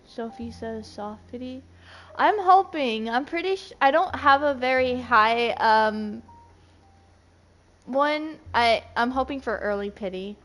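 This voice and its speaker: Excitable high-voiced woman streamer. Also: a little hiss or hum. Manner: excitable, chatty. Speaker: game streamer. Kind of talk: casual livestream commentary, reading chat and game text.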